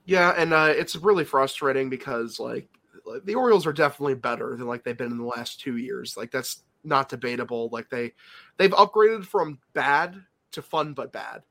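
Congested male co-host, mid-pitched, rambling and filler-heavy. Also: expressive, normal speed, sharp tone. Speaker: podcast co-host. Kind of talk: casual sports chat.

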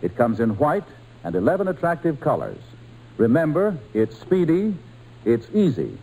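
Mellow, animated vintage radio pitchman. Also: moderate pace, low-quality recording. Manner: polished, salesmanlike. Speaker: commercial announcer. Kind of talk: 1940s radio advertising read.

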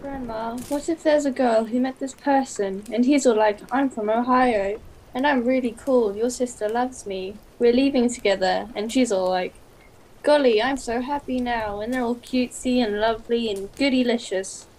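A woman's voice: on the high side, moderate pace, a little mellow, lightly acted, rough recording. A woman playing a glum young grandson in a stage duologue, asking sulky, mopey questions.

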